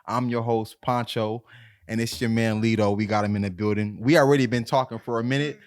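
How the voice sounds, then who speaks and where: A man with a middle-pitched voice hosts a podcast in a casual, conversational way. His talk is full of slang and playful retellings, like chatting with a friend. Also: brisk. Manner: slangy, joking, animated retelling. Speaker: podcast host.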